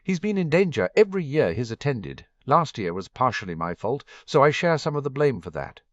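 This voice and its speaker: An earnest, worried father figure speaks in a lively, rising voice , as a man reading a story puts him on. The sound is dull and dry. He sighs often and pleads gently. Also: medium pitch, a little fast, no echo, voice pushed up, lightly acted. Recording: dull, dry home recording.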